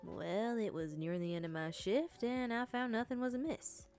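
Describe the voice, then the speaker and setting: A woman's voice: a little high, expressive, normal speed, a little mellow, soft background music. A woman voicing an older male police officer in a game, recounting his night patrol in a self-satisfied way. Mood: storytelling.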